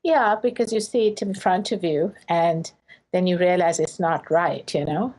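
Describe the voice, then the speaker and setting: A woman's voice: lowish voice, moderate pace, a little mellow, poor audio. A woman from Sri Lanka, a professor, answering interview questions earnestly in short phrases with fillers.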